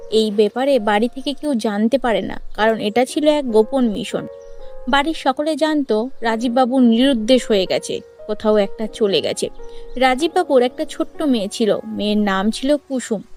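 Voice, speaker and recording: A woman's voice: a little high, a little mellow. A woman narrating a scripted cartoon moral story as the storyteller. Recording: music under the voice.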